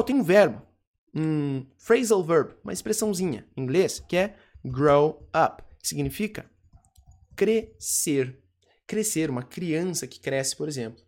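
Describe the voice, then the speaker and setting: This is a teacher leading an informal lesson. They break each phrase apart and drill the English words slowly and more than once. Short "ok?" and "tá?" check-ins keep the tone friendly and conversational. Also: medium pitch.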